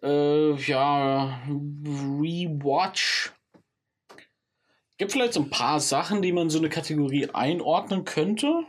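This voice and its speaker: A man's voice: a little high, slow. Joking man in his thirties. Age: 30s. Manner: jokey, drops English words into speech. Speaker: podcast co-host. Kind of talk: casual banter.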